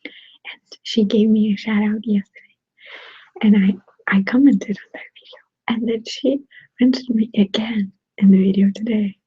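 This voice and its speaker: Breathy whispering woman with Russian accent. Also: mid-range voice, steady tone, normal speed, no echo. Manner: soft whispering, giggly, answers viewer comments. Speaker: ASMR channel host. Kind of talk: whispered ASMR live chat. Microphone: desktop USB microphone. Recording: dull, dry close-mic sound.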